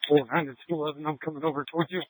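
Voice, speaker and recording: A man's voice: fairly high pitch, steady tone, very fast, slightly rough, no room sound, rough recording. A male emergency responder making short transmissions over police radio, as heard on a scanner. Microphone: two-way radio. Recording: sharp, dry police scanner radio audio.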